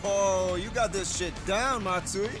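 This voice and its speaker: An actor playing a cocky young male high school gang leader in an English game dub, mocking, swaggering and slangy. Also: a little slow. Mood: smug, amused. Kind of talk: English video game dub cutscene acting. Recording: music under the voice.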